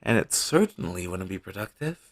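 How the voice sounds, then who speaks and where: Man playing a caring male manager, lowish husky voice, lively intonation, gently teasing, coaxing, intimate audio roleplay. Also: brisk, exaggerated voice. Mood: apologetic, earnest. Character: caring, teasing male talent manager soothing a troubled actor. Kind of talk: scripted audio roleplay, one-sided intimate conversation. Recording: low-quality amateur recording.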